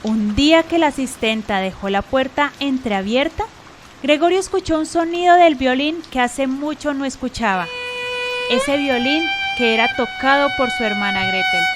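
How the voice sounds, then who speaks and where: A woman's voice: high voice, moderate pace, music in the background. A woman reading scripted narration as the storyteller of a radio drama.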